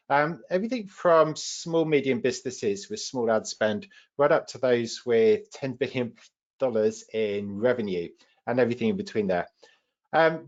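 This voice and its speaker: A British man leads a live webinar as its trainer, speaking at a medium pitch. His delivery is informal and explanatory, like a practical presentation to learners. The audio is a little dull and lo-fi. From United Kingdom.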